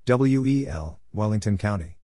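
A man giving a scripted read-out as an instructor, spelling letters and saying names in short, measured, separate phrases. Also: on the low side, animated. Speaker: Morse code practice instructor. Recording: noisy recording with clicks.

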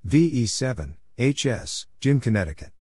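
A man narrating a practice lesson, reading call signs and short phrases in a clipped, deliberate way. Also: mid-range voice, sing-song, a little mellow, husky, no room sound, studio quality. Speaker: Morse code practice narrator.

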